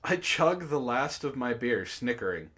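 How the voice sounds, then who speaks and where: A man's voice: medium pitch, very animated, measured pace, fairly bright tone. A man hosting a read-aloud, narrating casually and breaking off for sardonic, profane asides. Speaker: book club host reading a story aloud with commentary.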